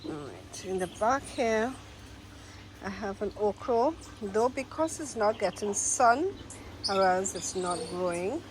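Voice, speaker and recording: A woman with a nasal, stuffed-up voice gives a slow, casual tour of her garden, naming plant after plant. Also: medium pitch, expressive, poor audio. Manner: slow, unhurried, pointing out plants one by one. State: nasal from a cold. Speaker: gardening vlogger. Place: outdoor garden. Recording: outdoor noise with birdsong. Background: birds singing.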